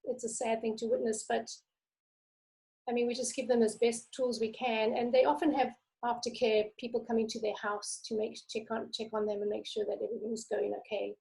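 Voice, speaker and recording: A woman author with a soft voice, talking candidly over a video call and reading from her memoir. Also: fairly high pitch, level tone, a little fast, creaky, poor audio. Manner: candid, conversational. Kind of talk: interview answers and memoir reading.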